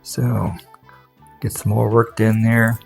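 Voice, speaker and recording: A man's voice: fairly low pitch, little pitch movement, slow, creaky, slightly rough, faint music behind. A man giving casual, plain-spoken how-to narration as a craftsman, explaining things step by step in a folksy way. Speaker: furniture restorer and instructor.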